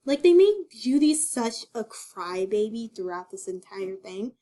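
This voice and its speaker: A woman talks casually and with exasperation, sharing opinions in slangy phrases. Her voice is high and lively in pitch, and she takes her time. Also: a little slow. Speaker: amateur reader and commentator.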